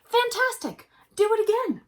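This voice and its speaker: A woman's voice: very high voice, big pitch swings, moderate pace, pitched above the performer's own voice. A woman playing a brave, clever young princess in a children's storybook, with emphatic, theatrical exclamations. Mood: excited shouting. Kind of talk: children's storybook read-aloud.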